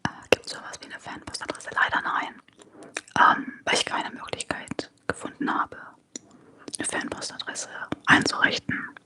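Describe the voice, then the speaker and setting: An ASMR streamer from Germany, talking in a soft, unvoiced whisper with many fillers during a live stream at home. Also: normal speed. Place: apartment at home. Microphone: close microphone.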